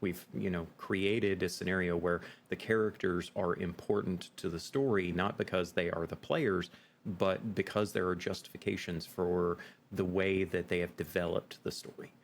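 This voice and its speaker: Male podcast guest, game master. Very low voice, emphatic explainer, noisy background. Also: fairly even intonation, moderate pace. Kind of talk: interview conversation.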